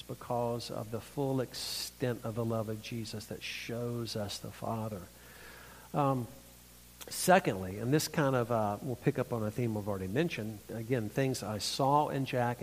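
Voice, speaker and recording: A middle-aged man with a thin, mid-pitched voice speaks at a church conference. His sermon is earnest and reflective, with halting restarts. The recording carries a long, echoing hall reverb. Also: slight background noise.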